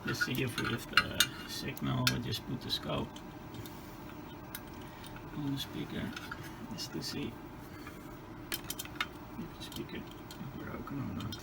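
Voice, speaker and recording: A man giving a hands-on repair demonstration, muttering haltingly and tentatively through each step as he thinks aloud. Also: mid-range voice, steady tone, creaky, very noisy. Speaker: host of a radio repair video. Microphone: handheld camera.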